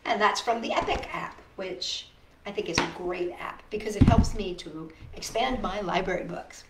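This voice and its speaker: A woman's voice: mid-range voice, moderate pace, heavy background noise. A woman hosting a storytime for young children, speaking and reading in a playful, sing-song manner and often putting questions to her listeners. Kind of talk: reading picture books aloud and chatting to young children. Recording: roomy echo.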